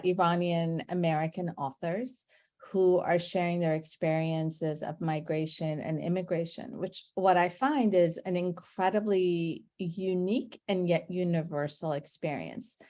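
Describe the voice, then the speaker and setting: A middle-aged woman from Iran with a somewhat low voice leads a book discussion over a video call. She speaks in an effusive, emphatic way, unhurried and leaving pauses between phrases. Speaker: moderator. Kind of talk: moderating an online book discussion.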